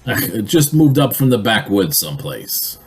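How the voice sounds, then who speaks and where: A man playing an evasive, flustered boss in an old-time radio drama, hesitant and stammering, with a husky voice. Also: fairly low pitch, very animated, a little slow, heavily acted. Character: evasive, flustered male boss dodging work.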